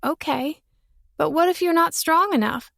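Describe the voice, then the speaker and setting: A woman voicing a frightened little girl stuck in a tree, high and tearful. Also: normal speed, vocal fry, a bit bright, dry recording, clean studio sound.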